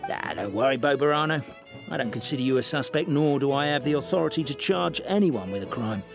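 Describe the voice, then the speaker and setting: Dithering fantasy-game official: mid-pitched, wry, hesitant, dramatically varied, music behind. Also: male voice, animated, brisk, gravelly. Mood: reassuring. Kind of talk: video game voice acting.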